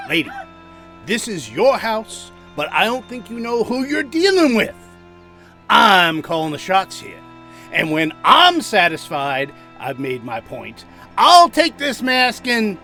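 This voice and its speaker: Menacing gangster boss, creaky forceful voice, wildly animated pitch, swaggering sarcasm, audio drama. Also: male voice, takes it slowly, pauses often, faint music behind, exaggerated voice. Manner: taunting wise-guy talk.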